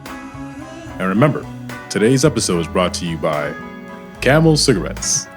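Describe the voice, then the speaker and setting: A man's voice: low voice, big pitch swings, a little slow. A man narrating like an old-time radio serial announcer, theatrical and building suspense. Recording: music under the voice.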